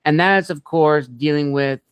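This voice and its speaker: A man hosting an interview, framing his questions in a polite, deliberate and wordy way. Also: fairly high pitch, smooth, dry recording. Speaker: interviewer, show host.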